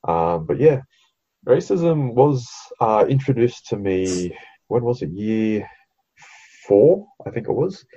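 A man's voice: mid-range voice, very animated, takes it slowly, dark tone, slightly rough, muffled recording. A young Australian man with an Australian accent, co-hosting a podcast in a laid-back way, with lots of ums and casual swearing. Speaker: podcast co-host. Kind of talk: casual chat.

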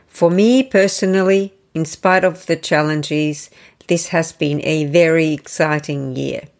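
A woman from eastern Finland with a Finnish accent, hosting a podcast in a measured, formal way. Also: low-pitched, faint music behind. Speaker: podcast host. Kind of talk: scripted intro then interview.

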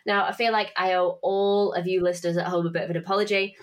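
A woman's voice: lowish voice, little pitch movement, fast. Chatty woman with a stuffy nose. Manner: chatty, swearing, self-mocking. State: congested from hay fever. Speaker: podcast host and finance tutor. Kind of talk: casual podcast chat with promotion.

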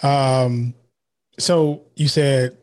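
A man hosts a casual podcast interview. He asks his questions in a relaxed, unhurried way. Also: mid-range voice. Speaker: podcast host.

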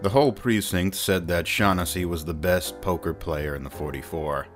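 Low, reflective storyteller for hardboiled crime drama, male actor, measured delivery over faint music. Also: natural voice. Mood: wry, reflective. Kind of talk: scripted noir narration.

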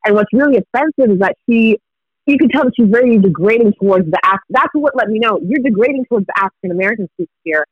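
A man's voice: high voice, animated, quick pace, dry recording, narrow, tinny audio. A Kenyan-born man whose first language is Swahili, telling a story in casual chat with laughter.

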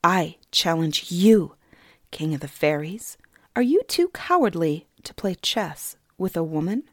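A woman's voice: mid-range voice, sing-song, unhurried, darkish tone, no echo, clean studio sound. A woman voicing a hungry, defiant young heroine who speaks firmly. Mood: challenging. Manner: defiant, firm.

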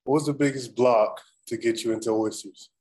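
A man's voice: mid-range voice, fairly even intonation, fairly quick, darkish tone. A man in a casual chat, asking curious questions. He often stops and restarts his sentences as he works out what he wants to say. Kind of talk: casual conversation.

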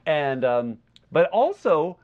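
A man co-hosting a podcast in a casual film discussion, talking in a halting, wordy way as he thinks aloud. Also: fairly high pitch, big pitch swings, muffled recording. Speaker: podcast co-host.

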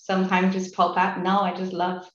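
A woman in her thirties from China, a guest chatting in a relaxed, easygoing way. Her mid-pitched voice comes through a dull remote call with an echoey room around her. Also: normal speed. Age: 30s. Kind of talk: casual interview chat.

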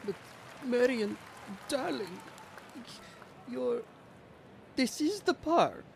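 A man voicing Benny, a small, timid male critter who speaks in a squeaky, hesitant voice. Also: lively intonation, extremely slow, creaky, some chatter behind, slight background noise, full character voice. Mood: pleading.

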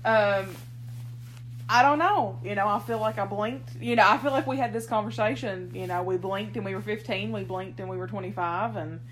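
A woman's voice: medium pitch, moderate pace, slight background noise, low-quality recording. A woman in her thirties co-hosting a podcast, chatting casually in a rambling, self-deprecating way. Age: 30s. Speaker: podcast co-host. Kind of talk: casual chat.

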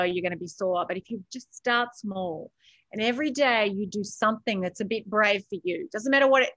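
Female podcast guest, Australian accent, mid pitch, emphatic motivational delivery that repeats key phrases, dry sound. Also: a bit bright, no echo, poor audio. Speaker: podcast guest, author and coach. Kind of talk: motivational interview conversation.

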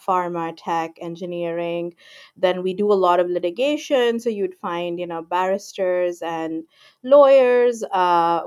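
A woman in her forties from Pakistan, calmly explaining her work as a guest in an interview with frequent ums and you-knows. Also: medium pitch, clear, resonant tone. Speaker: guest, IP lawyer. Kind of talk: casual interview talk.

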